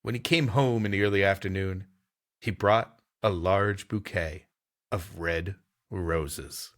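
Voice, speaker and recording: A man's voice: sits low, slow, a bit bright. A man co-hosting a podcast, wryly and dramatically narrating a vintage sci-fi story and joking with his co-host. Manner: wry, laughs easily, dramatic narration.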